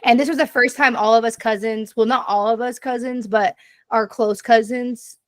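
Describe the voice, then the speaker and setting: A woman's voice: fairly high pitch, fairly even intonation, moderate pace, dry recording. A woman in her twenties co-hosting a casual podcast, rambling, laughing often and talking over the others. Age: 20s. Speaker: podcast co-host. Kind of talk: casual chat.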